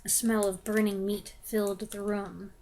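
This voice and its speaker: A woman giving an expressive dramatic reading of a children's book, with joking asides. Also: medium pitch, a little slow, a little hiss or hum, rough recording. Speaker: reader.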